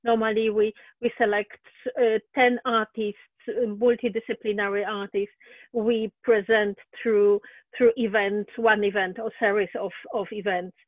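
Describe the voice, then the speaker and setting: A woman's voice: mid-range voice, flat delivery, unhurried, dry recording. A woman host on a video call, describing events steadily with hesitations. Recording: tinny, phone-like video call audio.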